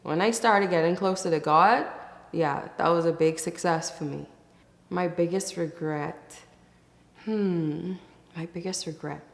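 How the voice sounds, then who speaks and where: A woman from the Bahamas giving casual, reflective interview answers in a thoughtful, candid way, with pauses as she thinks. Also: lowish voice, vocal fry. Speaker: interview guest, musician. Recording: echoey, reverberant room.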